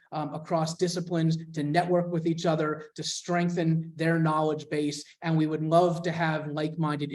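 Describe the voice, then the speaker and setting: Higher-voiced man presenting on a call. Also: a little mellow. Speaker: scholarship foundation representative. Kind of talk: presentation at an info session.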